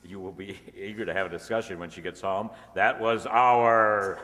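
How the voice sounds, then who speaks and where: A man's voice: low-pitched, steady tone, normal speed, sharp tone. A man announcing the credits of a live stage show as its master of ceremonies. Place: live hall before an audience. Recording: echoey hall with noisy audience. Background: audience noise.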